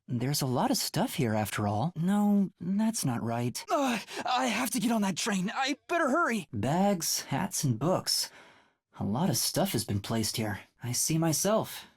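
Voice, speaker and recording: A woman voicing a timid, bewildered boy trainee detective in a video game, with a light, youthful, slightly breathy voice and a hesitant, earnest manner. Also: lowish voice, big pitch swings, unhurried, heavily acted. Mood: puzzled, uneasy.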